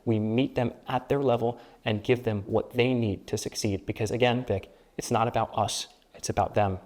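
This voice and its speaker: A young man whose first language is Spanish and who grew up in Peru and the Dominican Republic. He is a student government candidate giving a speechlike campaign pitch in an interview, building repeated phrases into rhetorical lists. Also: steady tone, moderate pace, a little mellow. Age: young adult.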